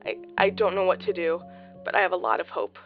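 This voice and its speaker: A woman's voice: fairly low pitch, lively intonation, fast talker, dull audio, natural voice. A woman playing a tender, anxious mother leaving a hesitant farewell message in a radio drama. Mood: fearful, loving. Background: plucked guitar music.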